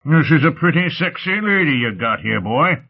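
Angry bellowing old tyrant, swooping pitch. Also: male voice, very animated, vocal fry, heavily acted. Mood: leering. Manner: bellowing, drawn-out, bullying. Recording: muffled phone-like narrow sound.

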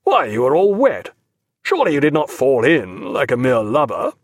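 A man voicing a tall, commanding naval captain who scolds loudly and gruffly, in a dry recording. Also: mid-range voice, wildly swooping pitch, normal speed, dry recording. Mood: scolding. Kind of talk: audiobook character voice.

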